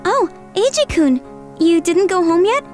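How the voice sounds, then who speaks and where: A sweet, lonely anime high school girl from a rich family acted by a woman. Her voice is high and girlish with a gentle manner, and music plays clearly behind it. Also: expressive, unhurried. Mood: friendly, concerned. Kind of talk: anime-style manga dub acting.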